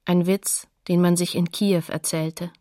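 A woman's voice: lowish voice, little pitch movement, measured pace, warm tone, dry recording, studio quality. A woman announcing sources and dates tersely for a scripted radio documentary.

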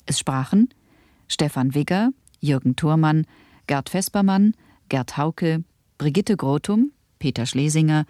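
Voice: mid-range voice, expressive, unhurried, mellow, no room sound, studio quality. An announcer reading the closing credits of a radio play in a scripted broadcast style.

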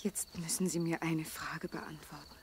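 An actress playing a lonely, vulnerable young woman in a radio drama, speaking softly and breathily in a confiding way. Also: low voice, fairly even intonation, measured pace. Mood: tender, intimate. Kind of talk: German radio drama acting. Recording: faint night insect noise behind. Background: crickets.

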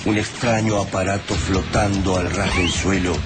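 A man's voice: low-pitched, flat delivery, moderate pace, natural voice. A man narrating a science fiction radio drama in a solemn, dramatic manner. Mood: grave, suspenseful. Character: grave male storyteller of a science fiction war tale. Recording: music bed behind narration.

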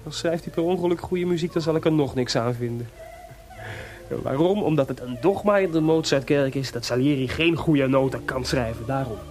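Actor as a high-voiced, spirited young composer. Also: male voice, normal speed, darkish tone, natural voice. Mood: mocking. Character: spirited young male composer, cheeky, restless, hopeful. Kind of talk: Dutch radio play acting.

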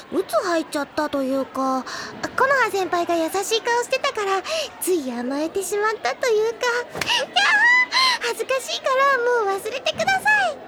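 A woman voicing a bubbly, bashful younger high-school girl in an audio drama, chirpy and polite. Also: very noisy. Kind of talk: Japanese audio drama acting.

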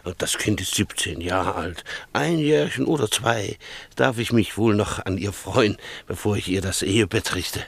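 An actor playing a proud, boastful rich Greek father in a German radio play, emphatic and scornful, with a husky voice. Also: on the low side, moderate pace, dry recording. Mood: doting, hedging. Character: proud, self-made rich Greek father, possessive of his daughter.

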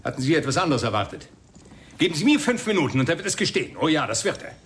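Defiant, curt, embittered husband. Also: male voice, a little high, very animated, very fast, fairly bright tone, slight background noise. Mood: agitated, indignant. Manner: curt, incredulous, indignant. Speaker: actor in a radio crime drama. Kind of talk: radio play acting.

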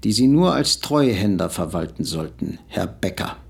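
An actor playing a stern, cheated business partner in a radio drama, cold and cutting. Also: male voice, low-pitched, sing-song, moderate pace, creaky, breathy edge, heavily acted. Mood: cold, accusing.

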